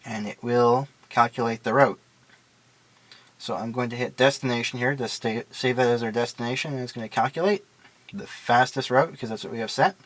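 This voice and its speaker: A man talks through a product demonstration in an ordinary mid-pitched voice. His delivery is casual and unscripted, explaining each step plainly and matter-of-factly. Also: brisk, a bit bright.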